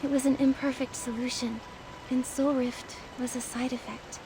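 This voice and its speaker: A woman playing a gentle, earnest young game heroine, speaking softly and thoughtfully. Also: high voice, level tone, normal speed, noisy background. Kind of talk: game cutscene acting.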